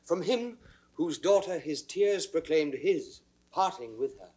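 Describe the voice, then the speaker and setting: An actor playing a young prince, courteous and earnest, declaiming Shakespearean verse formally. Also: male voice, on the high side, animated, a little slow, a little mellow. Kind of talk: classical Shakespearean stage acting.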